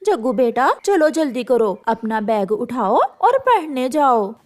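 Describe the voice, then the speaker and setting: A woman's voice: on the high side, sing-song, measured pace. A woman voicing a fretful, complaining mother crow in a children's cartoon. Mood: fretful requesting.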